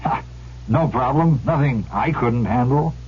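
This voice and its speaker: A man's voice: mid-range voice, unhurried, close to an everyday voice. An actor playing an ordinary husband, nervous beneath his bravado, in an old radio sketch. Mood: boastful. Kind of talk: old-time radio ad dramatization. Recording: thin, muffled vintage radio with hum.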